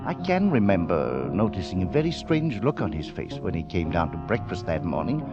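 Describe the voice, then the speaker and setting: An actor playing a proper, discreet valet in a 1940s radio drama, formal and precise. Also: male voice, low-pitched, very animated, moderate pace. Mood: composed, respectful. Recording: muffled 1940s radio with music.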